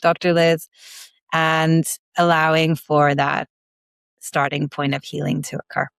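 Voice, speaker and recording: A woman osteopath from Belgium, raised in London, with a blended American, Belgian and British accent, explaining her work in measured terms in a podcast interview. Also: low voice, level tone, vocal fry, clean tone, dry recording. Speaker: manual osteopath guest.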